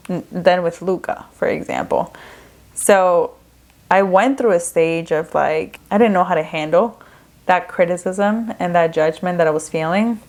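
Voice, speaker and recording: A young woman from Ecuador hosting a podcast, talking in a candid, casual way. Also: lowish voice. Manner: chatty, candid, with frequent fillers. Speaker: podcast host. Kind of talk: casual solo podcast talk.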